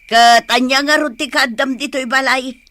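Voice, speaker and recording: A woman's voice: high voice, steady tone, moderate pace, bright, forceful tone, no echo. A woman playing a wily, dismissive, hard-living grandmother in an audio drama, with theatrical delivery. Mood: scornful, brusque. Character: wily grandmother who drinks, gambles and neglects her grandchild.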